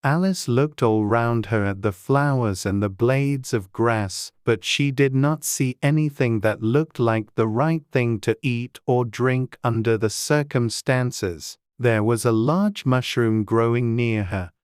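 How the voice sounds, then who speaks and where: An audiobook narrator reading a storybook in a measured, unhurried and gently expressive way. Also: medium pitch, clear, resonant tone, dry recording, studio quality.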